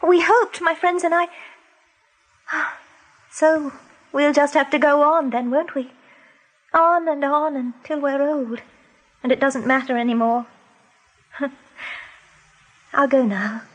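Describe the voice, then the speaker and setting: High-voiced, plaintive young doe rabbit. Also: female voice, fairly even intonation, slow pace, long pauses, rough recording. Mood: resigned, sad. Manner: plaintive, poetic. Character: gentle, despairing young female rabbit, wistfully hopeful captive. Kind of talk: radio play acting.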